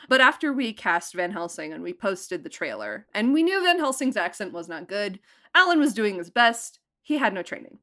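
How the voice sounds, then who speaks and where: Female interviewee, higher pitch, very animated delivery. Informal, emphatic producer talk. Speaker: audio drama producer and director being interviewed. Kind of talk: casual chat.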